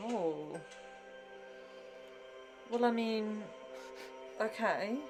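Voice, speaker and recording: A woman's voice: mid-range voice, extremely slow, over music. A woman giving casual reactions in conversation, thinking aloud in a hesitant way. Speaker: reaction show host, newcomer to the series.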